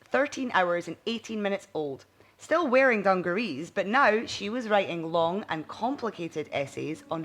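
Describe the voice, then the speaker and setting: Woman narrating a story over music. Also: mid-range voice. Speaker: audiobook narrator. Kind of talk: expressive bedtime story narration. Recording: music behind the voice.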